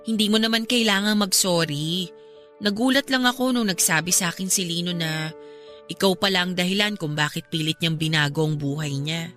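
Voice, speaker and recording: A woman's voice: lowish voice, brisk, fairly bright tone, faint music behind, lightly acted. An actress playing a concerned, sympathetic young woman questioning a friend in a radio drama. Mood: surprised, probing.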